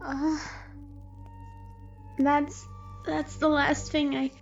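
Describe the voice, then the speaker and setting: A woman playing a friendly, excitable young monster boy who talks in boyish slang, in an audio drama. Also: sits high, drawn-out words, gravelly, dull audio, faint music behind, heavily acted. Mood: weak, dying. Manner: slangy, boyish, says dude and yo.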